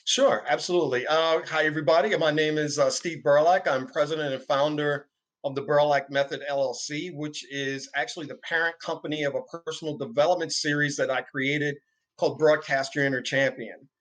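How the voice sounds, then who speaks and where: A man's voice: fairly high pitch, fairly even intonation, fairly quick, fairly bright tone, low-quality recording. Upbeat middle-aged man guest. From New York City. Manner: upbeat, lots of uh fillers. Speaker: podcast guest. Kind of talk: interview.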